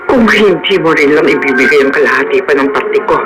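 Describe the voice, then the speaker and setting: An actor voicing an aggrieved accomplice in a radio drama, emphatic and dramatic, with a Filipino accent. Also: male voice, fairly high pitch, lively intonation, a little fast, loud music behind the voice, natural voice. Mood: bitter, demanding. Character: aggrieved accomplice demanding a share, then confessing. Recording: noisy radio drama broadcast.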